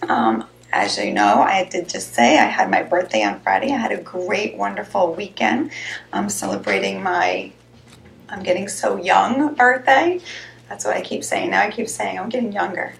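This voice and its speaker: Chatty raspy woman hosting a live stream. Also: medium pitch, wildly swooping pitch, moderate pace. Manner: chatty, rambling, greets viewers by name. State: slightly hoarse voice. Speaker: host of a live health show. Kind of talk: casual live-stream chat. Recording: echoey room.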